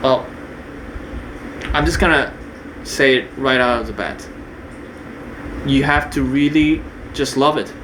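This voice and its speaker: A man's voice: medium pitch, slow, pauses often, noisy background. A young man from Korea, partly raised in New Zealand, talking off the cuff in a casual monologue, with many fillers and restarts. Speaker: video creator.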